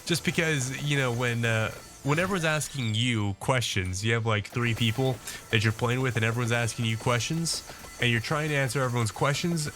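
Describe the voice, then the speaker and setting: A man's voice: mid-range voice, bright, forceful tone, a little hiss or hum. A man casually commenting on his gameplay as a video host, rambling with many fillers and restarts, his nose a bit congested.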